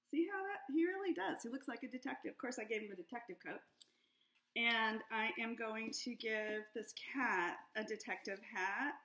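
A woman's voice: on the high side, fairly bright tone. A middle-aged woman, a children's book author, giving a friendly, encouraging step-by-step drawing lesson for kids from her home. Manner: upbeat, encouraging, step-by-step explaining. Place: home room with high ceiling. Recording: echoey room.

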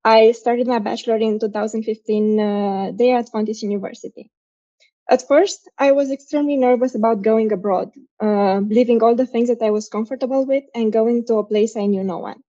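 A young woman from Romania, a software engineer, telling her career story in an earnest, reflective way over a video call. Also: fairly high pitch, fairly even intonation, fairly quick. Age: young adult. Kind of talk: personal career story talk. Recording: muffled video call audio.

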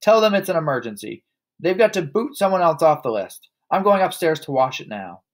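A man voicing a hot-tempered middle-aged father in a storybook, yelling indignantly. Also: a little high, sing-song, fairly quick, pitched above the performer's own voice. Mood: frantic.

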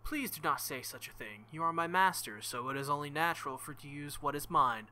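Man voicing a young woman knight-king, formal, measured, earnest, bright edge. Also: fairly high pitch. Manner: formal, measured speech without contractions. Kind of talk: reading visual novel dialogue aloud.

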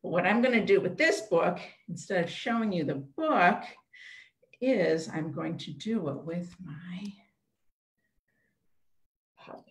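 A woman's voice: on the low side, animated, unhurried, pauses often. A middle-aged woman leading an interactive storytime for young children, asking simple questions in short phrases over a video call. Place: small room at home, indoors. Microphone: computer mic on a video call, a step away. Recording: roomy echo, webcam video call.

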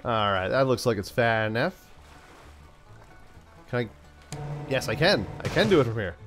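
A man hosting a gaming channel, chatting playfully through a let's-play, stuffed up from a cold and now and then yelling out excited bursts. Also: mid-range voice, normal speed, over music. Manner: playful, talks to himself, yells bursts like "send it". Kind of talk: casual let's-play commentary.

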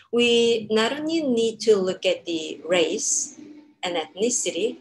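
A woman in her thirties, a native Korean speaker with a Korean accent, giving a measured academic webinar lecture over a video call, with frequent ums. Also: medium pitch, low-quality recording. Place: home room. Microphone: laptop webcam on a video call.

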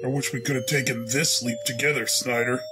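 An actor playing a weary, disillusioned military general in a comic book audio drama, over loud music. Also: male voice, mid-range voice, fairly even intonation, a little fast, noisy background, close to an everyday voice. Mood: regretful.

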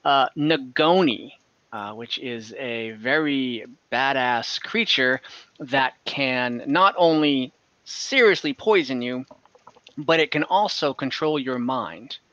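A young man runs a tabletop game over a headset, his voice bright and a little higher than most. The call audio sounds dull and lo-fi, close and dry. He narrates loosely, with plenty of fillers. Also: takes it slowly, dry recording. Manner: relaxed, with frequent fillers. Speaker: game master. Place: home room on a video call.

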